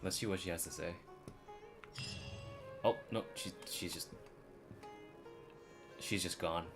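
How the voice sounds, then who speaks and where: Casting: male game streamer, very low voice, informal and hesitant as he thinks aloud, game music clearly behind him. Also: slow. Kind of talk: casual livestream commentary.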